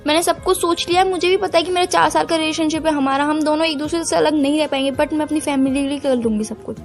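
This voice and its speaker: A woman's voice: high voice, steady tone, fast talker, faint music behind. A young woman playing an earnest, firm girlfriend who is pained and torn, in an audio call drama. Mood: sad, resolute. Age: young adult. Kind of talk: acted phone-call drama in conversational Hindi with some English.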